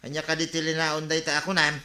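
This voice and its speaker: A man's voice: on the high side, completely flat delivery, fast, sharp tone, roomy sound. A man playing an envious, grumbling village neighbour with an Ilocano accent in a comedy radio drama. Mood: curious, amazed.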